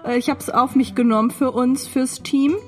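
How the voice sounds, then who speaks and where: A woman in her late twenties with a fairly high voice co-hosts a podcast. She talks casually and jokes with a playfully dramatic touch, over faint music. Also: steady tone, moderate pace. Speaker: podcast co-host. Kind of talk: casual, joking banter.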